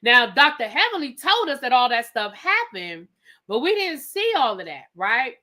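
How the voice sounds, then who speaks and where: A woman's voice: a little high, normal speed. A woman hosting a live TV recap, telling the story casually and acting out the quotes, with some swearing. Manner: animated storytelling, acts out quotes; profane, conversational. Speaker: TV show reviewer.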